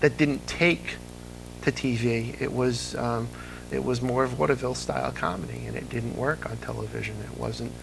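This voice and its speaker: A man chatting casually as an interview guest, telling anecdotes in an animated way and acting out the dialogue. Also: medium pitch, normal speed, creaky. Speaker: interview guest, old-time radio fan. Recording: audible background noise and hum.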